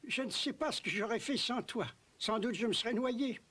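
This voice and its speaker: A man playing a gentle, loving old father in an animated film, with a tender, exclamatory, fatherly way of talking. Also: very high-pitched, moderate pace. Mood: grateful. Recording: long cavernous reverb, faint music.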